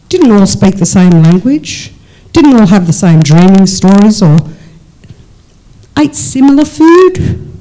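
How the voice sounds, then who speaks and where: An Australian speaker hosting a live panel discussion in a relaxed, joking manner. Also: medium pitch, low-quality recording. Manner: relaxed, joking, drawn-out phrasing. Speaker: panel host.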